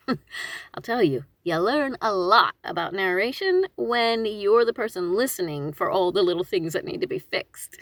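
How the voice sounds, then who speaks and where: A woman audiobook narrator hosting her own channel, giving informal instructional talk in an expressive, conversational and emphatic way, with self-deprecating asides. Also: mid-range voice, dry recording.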